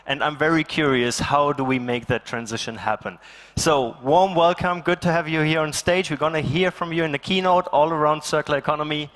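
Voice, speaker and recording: A man hosting a festival stage, giving brisk announcements and introducing the next speaker. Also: on the high side, fairly bright tone. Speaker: festival host. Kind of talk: event moderation. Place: large conference stage.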